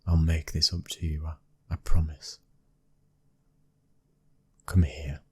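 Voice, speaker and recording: A British man playing a young mafia boss's son, a protective, controlling husband, in an intimate ASMR roleplay, with a husky, breathy voice and a commanding manner. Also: extremely low pitch, level tone, takes it slowly, heavily acted. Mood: urgent, protective. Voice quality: husky, breathy, sometimes dropping to a whisper. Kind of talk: ASMR boyfriend roleplay audio drama.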